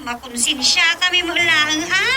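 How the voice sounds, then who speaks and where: A woman's voice: very high-pitched, measured pace, background noise. A woman with an Ilocano accent acting a concerned younger relative in a radio drama comedy. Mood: fussing, concerned. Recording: music behind the voice. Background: music.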